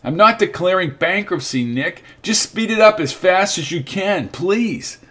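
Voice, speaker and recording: A man's voice: high-pitched, expressive, measured pace, bright, forceful tone, voice pushed up, exaggerated voice. A man reading the part of a weary, restless man from California who talks emphatically and sardonically. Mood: urgent.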